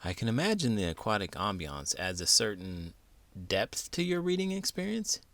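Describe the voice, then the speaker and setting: A man's voice: lowish voice, big pitch swings, normal speed, fairly bright tone, no room sound, clean studio sound. A man hosting a children's storybook read-aloud, theatrical and full of big emotion, stretching words for effect. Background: music.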